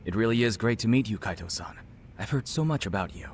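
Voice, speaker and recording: A man's voice: lowish voice, lively intonation, a little hiss or hum, close to an everyday voice. A man playing a courteous, formal middle-aged chief executive and widower in an English-dubbed video game drama. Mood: smooth, cordial. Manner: measured, formal, articulate. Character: polished, courteous male CEO and grieving widower. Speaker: company executive, client.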